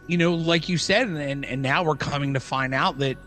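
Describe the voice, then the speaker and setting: A man's voice: a little high, fairly quick, sharp tone, soft background music. A man co-hosting a podcast, talking casually and informally in rambling runs of examples. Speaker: podcast co-host. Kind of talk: casual conversational podcast talk.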